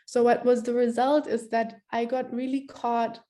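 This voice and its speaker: A woman's voice: on the high side, level tone, moderate pace, warm tone. A woman filmmaker who was born in Germany and grew up in Ethiopia, speaking reflectively in an online panel over a video call.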